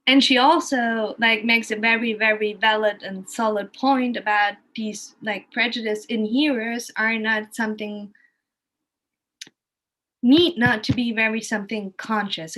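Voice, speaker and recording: Woman, German accent, native German speaker. Informal storyteller, thinks out loud, circles back to reword. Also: mid-range voice, poor audio. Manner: rambling, thinking aloud with frequent rephrasing. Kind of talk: casual conversational storytelling.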